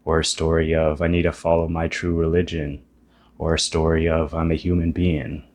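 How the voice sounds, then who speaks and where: Man, 30s, very deep low voice, YouTuber, reflective spiritual monologue, self-deprecating, thinks aloud. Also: monotone, moderate pace, darkish tone, slight background noise.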